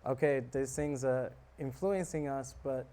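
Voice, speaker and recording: A man giving a relaxed, joking talk on scripture to a live audience, often asking them questions. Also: mid-range voice, measured pace. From South Africa. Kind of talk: casual sermon to a live audience.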